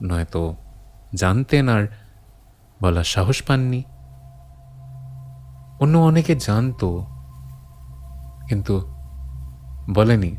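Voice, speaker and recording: A man playing a grave first-person narrator of a horror audio story, solemn and halting, drawing out phrases for suspense. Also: medium pitch, darkish tone. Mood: grave, hushed dread. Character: grave male narrator recounting old forbidden horrors to his friend. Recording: long reverb on the voice.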